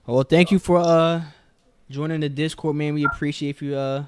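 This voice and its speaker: A man's voice: fairly high pitch, fairly even intonation, normal speed, clean tone. A man hosting a casual podcast, talking in a laid-back way, hedging and repeating himself as he thanks a guest. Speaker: podcast host.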